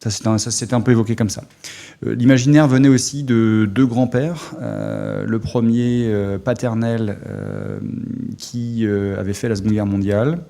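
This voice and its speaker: Gravelly low-voiced Alsatian man. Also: dark tone. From Alsace, France. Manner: halting, many fillers and restarts. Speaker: guest, former army officer. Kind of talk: casual interview talk.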